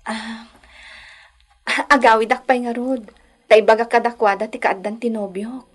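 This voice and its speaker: An actress with a Filipino Ilocano accent playing a respectful nineteen-year-old daughter in love in a radio drama. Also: female voice, on the high side, steady tone, moderate pace, close to an everyday voice. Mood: shy, affectionate.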